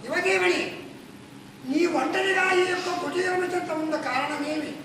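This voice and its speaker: A puppet-theatre performer voicing a boastful demon king posing as a holy man, declaiming in Telugu folk style with drawn-out, commanding calls. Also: very high-pitched, a crowd in the background. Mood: grand, boastful. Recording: echoey noisy hall, far from mic.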